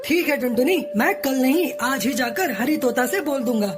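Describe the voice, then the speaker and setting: A woman's voice: sits high, expressive, normal speed. A woman voicing a kindly, eager male crow in a cartoon, earnest and urging. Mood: eager, hurried. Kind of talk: cartoon dubbing. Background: music.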